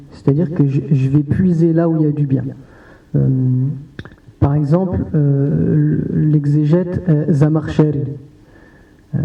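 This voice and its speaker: Guy on a panel answering, hesitant, a bit high voice, far off in a roomy hall. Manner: hesitant, many restarts and fillers. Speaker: panel speaker. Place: conference hall. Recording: distant roomy hall sound, dull audio.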